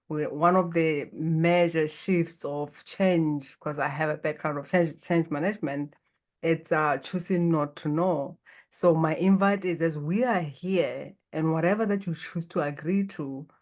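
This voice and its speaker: A woman from Botswana whose first language is Setswana, a guest on a podcast who speaks in a calm, reflective and inviting way. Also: low voice. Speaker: guest, life coach. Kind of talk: podcast interview.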